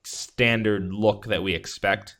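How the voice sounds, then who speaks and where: A man's voice: fairly low pitch, fairly even intonation, slow pace, fairly bright tone. A man co-hosting a podcast, talking in a casual, rambling and enthusiastic way as he reviews a film. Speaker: podcast host. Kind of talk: casual movie review chat.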